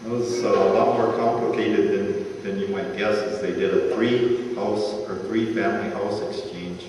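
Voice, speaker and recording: A man's voice: lowish voice, fairly even intonation, moderate pace, darkish tone. A man informally telling family anecdotes, with folksy conversational asides to his listeners. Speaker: family member co-reading a tribute. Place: large echoey room at a party gathering. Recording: echoey hall from far off, background noise.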